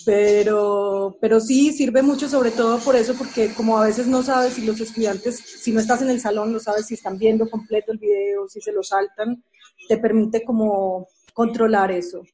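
A woman teacher, explaining a tool clearly on a video call. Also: on the high side, fairly even intonation, normal speed, dark tone, slight background noise, low-quality recording.

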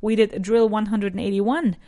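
A woman with a nasal voice teaching an English lesson. She repeats each sentence slowly and switches between English and Spanish. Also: medium pitch, steady tone, mellow. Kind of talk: bilingual language lesson.

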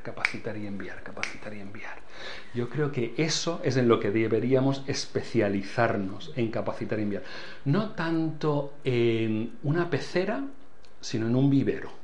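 A man's voice: medium pitch, normal speed, a little mellow. An older man with a foreign accent, a church leader giving earnest interview answers and repeating key phrases for emphasis. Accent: foreign accent in Spanish.

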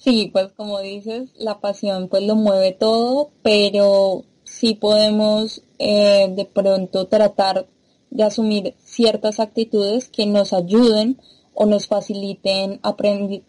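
A woman's voice: medium pitch, dark tone, poor audio. A woman from Latin America, a guest in an interview, explaining her ideas in a thoughtful way with small fillers. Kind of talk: interview conversation.

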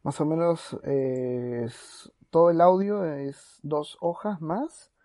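A man co-hosting a podcast in a casual, halting way, with frequent false starts. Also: a little high, expressive, dark tone, dry recording, studio quality. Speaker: podcast co-host.

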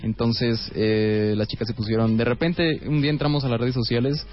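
Friendly young Mexican singer. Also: male voice, mid-range voice, flat delivery, normal speed, muffled recording, soft background music. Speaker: boy band singer. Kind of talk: casual radio interview.

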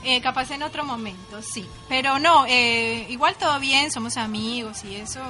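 A woman from Colombia, chatting casually as a radio co-host, bubbly and quick to laugh at herself. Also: on the high side. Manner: bubbly, laughs often, self-deprecating. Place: radio studio.

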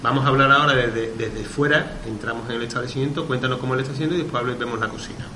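A man hosts a radio chat about wine, friendly and encouraging, and he restarts his sentences often. The studio sounds roomy, and a clear background noise runs behind his voice. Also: medium pitch, steady tone, rapid-fire, pushed and bright. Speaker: radio host.

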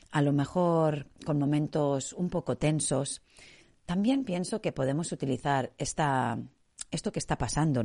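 Low-voiced Spanish woman with a cold. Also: gravelly, mellow. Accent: Spain Spanish. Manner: chatty and meandering, many fillers. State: has a cold, coughing. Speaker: podcast host. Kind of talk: casual podcast talk.

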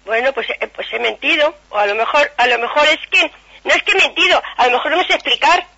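A woman's voice: high voice, fast talker, gravelly, pushed and bright. A middle-aged woman with an accent from Spain, chatting casually on a phone call and explaining herself in a rambling, repetitive way. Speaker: prank call recipient. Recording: muffled telephone line.

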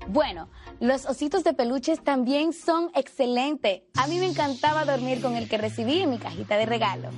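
A woman's voice: high-pitched, expressive, moderate pace. A woman from the Republic, presenting a scripted instructional charity video. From Dominican Republic. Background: background music.

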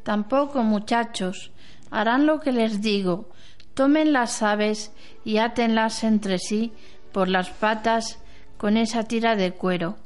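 Lady doing a wise old granny elder in a radio play, real slow, smooth clear voice. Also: a little high. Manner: slow, measured, instructing. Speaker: amateur radio drama actor. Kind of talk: amateur radio play acting.

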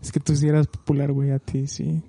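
A man's voice: fairly high pitch, little pitch movement, fairly quick, warm tone, dry recording. A young man with a Mexican accent chatting casually and slangily as a podcast guest. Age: young adult.

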